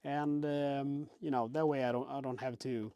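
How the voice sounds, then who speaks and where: A man hosting a board game channel, talking casually through a game's components, his voice raspy from illness. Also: medium pitch, normal speed. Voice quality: raspier than usual. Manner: relaxed, many fillers and restarts. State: sick with a cold-like illness, raspy.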